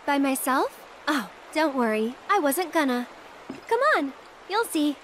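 A woman's voice: high-pitched, lively intonation, a little slow, a little hiss or hum, low-quality recording. An actress playing a playful, self-assured young woman with a casual, teasing manner in a video game cutscene. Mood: breezy, reassuring. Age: young adult.

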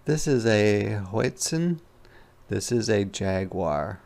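A man's voice: on the low side, expressive, slow, creaky, darkish tone. A man reading a picture book aloud as a teacher, explaining things simply and conversationally for children. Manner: conversational, repeats phrases.

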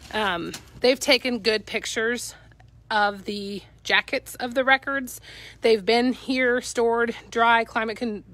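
A woman's voice: on the high side, fairly bright tone. Upbeat woman with a Midwestern accent. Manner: upbeat, chatty, talking to live viewers while walking. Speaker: auction company staff. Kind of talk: live walkthrough of auction lots. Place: cluttered warehouse, indoors. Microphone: handheld phone camera.

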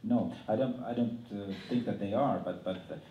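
A man with a Finnish accent moderating a panel off the cuff, halting and repeating his words. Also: on the low side, lo-fi sound. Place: large indoor auditorium. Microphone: handheld microphone. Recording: echoey hall, distant, faint noise.

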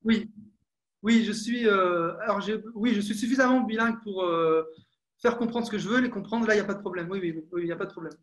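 A young French man with a high voice answers questions on a video call, stumbling over his words and filling pauses with hesitations. He talks through an earphone mic from a small room at home. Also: fairly bright tone. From France. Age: young adult. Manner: hesitant, many fillers and restarts. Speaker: film director.

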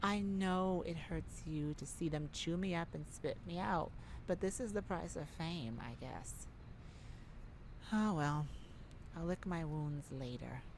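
A woman acting an exhausted, anxious pop star confiding haltingly in a bodyguard lover, in an intimate ASMR roleplay. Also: low-pitched, lively intonation, darkish tone, slight background noise, exaggerated voice. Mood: anxious, bitter. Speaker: audio roleplay performer.

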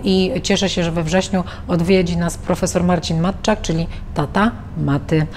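Low-voiced woman hosting book events. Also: a little mellow, a little hiss or hum. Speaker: event host. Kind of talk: promotional announcement. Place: indoor bookshop cafe.

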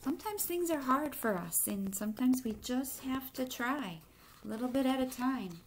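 A middle-aged woman reads a children's book aloud in deliberate short phrases, pausing to put questions to young listeners. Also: high-pitched, lively intonation, a little hiss or hum. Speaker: teacher reading a picture book aloud. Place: indoor room in a home.

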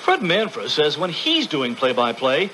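A man's voice: fairly high pitch, wildly swooping pitch, a little slow, sharp tone, poor audio. An American man narrating a scripted radio news feature. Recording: news radio recording with faint noise.

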